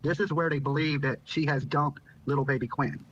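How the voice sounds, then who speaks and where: A man's voice: a little high, fairly even intonation, a little fast, slightly rough. A man from Virginia giving an informal live interview account as a guest, repeating phrases as he goes. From Virginia, United States. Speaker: YouTuber and news guest. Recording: dull, lo-fi remote link.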